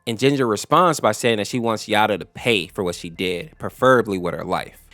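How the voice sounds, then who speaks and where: A man's voice: lowish voice, brisk, a bit bright, dry recording. A man narrating a story recap in a conversational, explanatory way, speaking directly to viewers. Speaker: YouTube lore explainer host.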